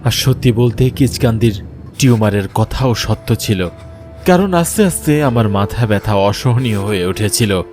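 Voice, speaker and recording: A man's voice: mid-range voice, lightly acted. A man playing a frightened young man, a betrayed lover telling his horror story in an earnest, dramatic first-person narration.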